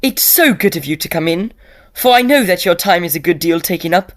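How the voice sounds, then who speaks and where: A woman playing an earnest, anxious young Victorian gentleman student in an audio drama, with formal, old-fashioned phrasing. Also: on the low side, lively intonation, brisk, close to an everyday voice. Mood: genial.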